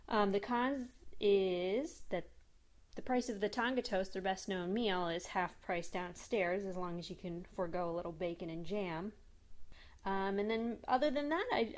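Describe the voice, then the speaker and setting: A woman in her thirties narrates a restaurant review over photos in a relaxed, conversational way. Her voice sits at a middle pitch, and she talks in a friendly, chatty manner with frequent ums.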